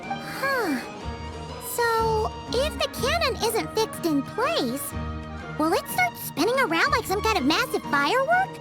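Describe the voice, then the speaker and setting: A woman voicing a tiny, excitable, girlish floating companion creature in a video game cutscene, bubbly, chatty and eager. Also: very high-pitched, unhurried, exaggerated voice. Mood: curious cheerful. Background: game music.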